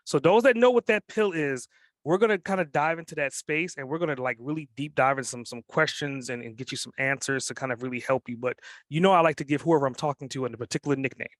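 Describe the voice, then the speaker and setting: A man hosting an interview show introduces his guest eagerly, with loose phrasing and plenty of "like" fillers. His voice sits a little high and sounds dry and close, with no room around it. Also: quick pace, a bit bright, no room sound. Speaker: podcast host.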